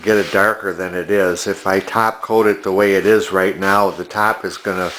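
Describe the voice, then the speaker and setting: An older man with a fairly low voice talks through a hands-on project. He is plainspoken and matter-of-fact, often halting as he casually explains each step. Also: a bit bright, a little hiss or hum. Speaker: antique restoration hobbyist demonstrating a refinishing project.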